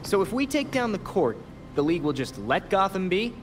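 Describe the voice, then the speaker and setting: A young man playing an earnest, sharp vigilante hero in a video game, inquisitive, wry and challenging in manner. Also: sits high, very animated, brisk, music in the background. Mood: wary, questioning. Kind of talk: video game cutscene acting.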